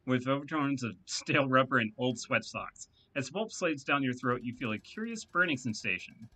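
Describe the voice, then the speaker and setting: A man reading a video game's text aloud in an expressive way, adding wry asides. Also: medium pitch. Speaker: let's play narrator.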